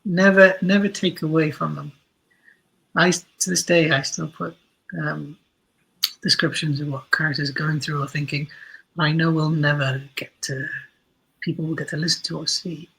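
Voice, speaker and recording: Husky, emphatic man reflecting in an interview. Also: fairly high pitch, level tone, a bit bright, rough recording. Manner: halting, emphatic, repeats phrases for stress. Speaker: guest, audio drama writer.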